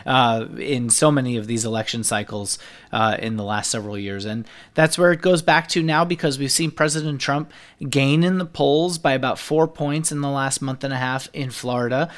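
Man, senior political editor, mid-pitched voice, fluent analytical delivery, steady flow of figures. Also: moderate pace. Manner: fluent explainer, rattles off figures with occasional uh fillers.

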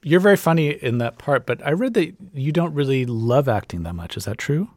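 A man who is a radio show producer, asking a guest questions in a measured, unhurried way. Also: medium pitch, big pitch swings, darkish tone, no room sound, clean studio sound.

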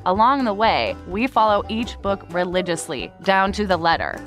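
A woman's voice: medium pitch, lively intonation, normal speed, fairly bright tone, faint music behind. A woman podcast co-host delivering a scripted, comedic intro.

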